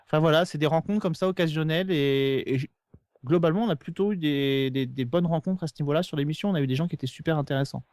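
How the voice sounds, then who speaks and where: Fast-talking man with a higher-pitched voice. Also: darkish tone, no echo. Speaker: podcast co-host being interviewed. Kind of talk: casual conversational interview answers.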